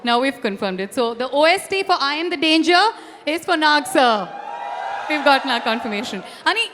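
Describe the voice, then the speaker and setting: A woman's voice: sits high, expressive, fairly quick, fairly bright tone. A woman hosting a promotional interview, effusive and articulate. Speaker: event host, interviewer. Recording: reverberant hall sound.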